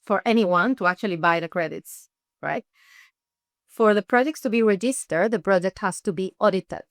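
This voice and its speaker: A woman from Peru, an NGO research head, explaining things in an interview with measured, rhetorical questions. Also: medium pitch, dry recording, clean studio sound.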